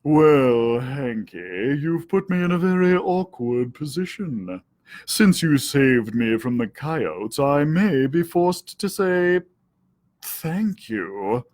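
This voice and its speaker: A man voicing a sly, droll male cat in a storybook, with a slow, mock-gloomy drawl. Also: medium pitch, very animated, a little mellow. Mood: grudging.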